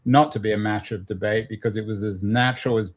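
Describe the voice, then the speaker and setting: Halting, probing male interview host. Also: fairly low pitch, fairly even intonation. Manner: halting, probing, repeats himself. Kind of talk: conversational interviewing.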